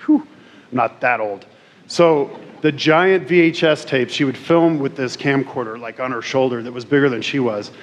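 Chatty middle-aged man at a podium. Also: medium pitch. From Colorado. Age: 40s. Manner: self-deprecating, chatty, many restarts. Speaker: career expo speaker. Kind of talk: casual speech to students. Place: large school auditorium stage. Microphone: podium mic on stand.

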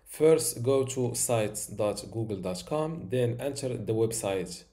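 A man in his thirties walking through a software tutorial step by step, with occasional fillers, recorded at a home desk. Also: medium pitch, lively intonation. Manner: slow, step-by-step instructions with fillers. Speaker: tutorial host.

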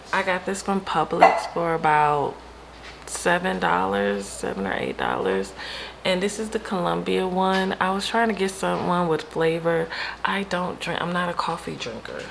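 Casual female vlogger, American, low gravelly morning voice, candid and informal, some household noise behind. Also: expressive, measured pace. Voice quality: deep early-morning voice. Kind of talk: casual chatty vlog to camera. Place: home kitchen and upstairs rooms, indoors.

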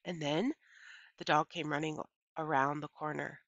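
A middle-aged woman with a lowish, bright voice reads a children's story aloud. She phrases it deliberately and with emphasis, as a teacher does. The recording is a bit dull and lo-fi. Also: level tone, no room sound. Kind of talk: expressive read-aloud of a children's novel.

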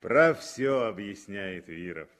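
A man narrating a 1960s radio drama as an expressive storyteller from a script. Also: lowish voice, slow.

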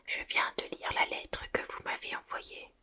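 A woman's voice: unhurried, bright, forceful tone. A woman whispering the part of a frail, kindly old headmaster wizard in an audiobook.

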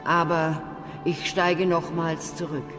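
A man's voice: on the high side, flat delivery, over music. An actor playing an aged, sorrowful, motherly wise woman in a radio drama, speaking solemnly like an oracle. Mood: gentle, grave. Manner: slow, solemn, oracular. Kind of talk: literary radio drama acting.

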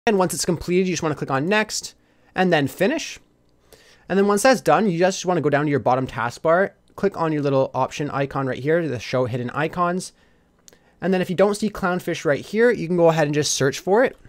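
A man giving a casual step-by-step software tutorial as a voice-over, addressing viewers informally. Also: a little high, a little fast. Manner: instructional, addresses viewers informally as "you guys". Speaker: tutorial host.

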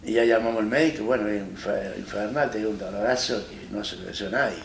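A man in his fifties with an Argentine accent, telling his own story in a plain-spoken, halting way as an interview guest. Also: fairly low pitch, vocal fry. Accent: Argentine Spanish. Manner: plain-spoken, halting and retelling with many restarts. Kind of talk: personal story in a studio interview. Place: small studio set, seated in armchair. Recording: roomy echo, distant mic, faint hiss.

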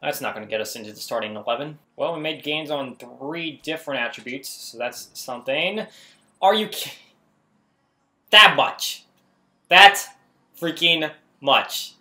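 A man's voice: a little high, animated, measured pace, bright, forceful tone. A man giving casual, exclamatory gameplay commentary as a video host, often putting himself down. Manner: self-deprecating, exclamatory.

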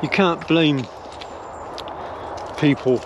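An older man rambles thoughtfully while walking outdoors, stopping and restarting his sentences. His voice sits a little high for a man. Clear outdoor noise from wind and distant traffic runs behind him. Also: drawn-out words, muffled recording. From England. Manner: musing, hesitant, many restarts and fillers. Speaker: vlogger. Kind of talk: rambling walk-and-talk opinion vlog. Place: woodland path outdoors while walking dogs. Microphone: phone or camera held close at arm's length.

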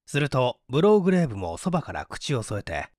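Man, expressive storyteller, animated intonation, dry close sound, literary narration. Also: mid-range voice, quick pace, no room sound. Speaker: audiobook narrator, stage actor. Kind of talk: dramatic scripted literary narration.

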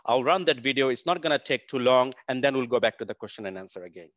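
A man's voice: mid-range voice, animated, fast, dry recording, highs cut off. A man hosts a virtual open day. He wears a headset and talks informally with small stumbles. His voice sounds dry and a little thin. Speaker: event host. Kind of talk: hosting an online open day.

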